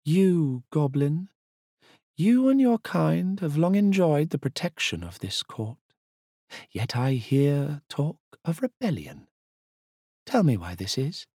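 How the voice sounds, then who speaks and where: A haughty elf queen, put on by a man, her voice pushed high and loud with commanding swoops. She sounds cold and scornful, slightly husky. The recording is dry. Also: sing-song, takes it slowly, no echo, heavily acted. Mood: imperious.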